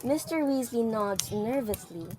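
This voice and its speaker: Higher-voiced woman narrating an audio drama. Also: measured pace, a little mellow, soft background music.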